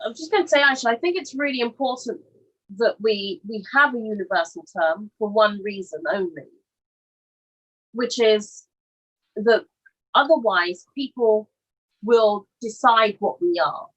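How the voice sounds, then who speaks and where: A woman on a discussion panel who speaks deliberately and slows down to stress her points. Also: mid-range voice, a bit bright, rough recording.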